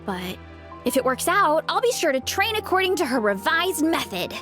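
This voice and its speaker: A woman's voice: high voice, sing-song, moderate pace. A woman voicing a cheerful, easygoing young fruit seller in an anime-style video game, friendly and earnest. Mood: friendly, encouraging. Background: game music.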